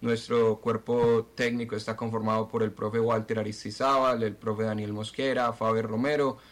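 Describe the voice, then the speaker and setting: A Colombian man answering interview questions as a football club president, speaking haltingly and repeating words as he searches for them. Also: fairly low pitch, flat delivery.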